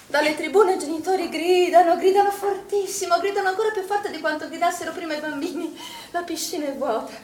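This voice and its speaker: An actress playing a troubled, grief-haunted middle-aged woman in an Italian stage drama, with anguished, heavily paused delivery. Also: very high-pitched, darkish tone. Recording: distant echoey stage recording with background noise.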